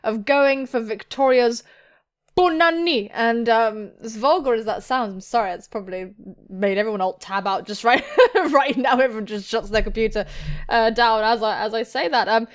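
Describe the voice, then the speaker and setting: A woman hosting a let's play, joking bawdily and excitably as she reads visual novel text aloud. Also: on the high side, moderate pace. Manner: bawdy, excitable, self-mocking joking. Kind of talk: playful gaming commentary while reading visual novel text aloud. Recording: music playing behind the voice.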